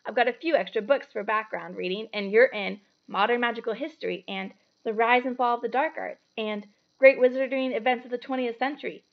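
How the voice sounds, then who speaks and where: Storybook role: eager, bossy girl know-it-all played by a woman, high voice, emphatic and brisk, slightly muffled recording. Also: natural voice. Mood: self-important. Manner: fast, self-assured, emphatic talking.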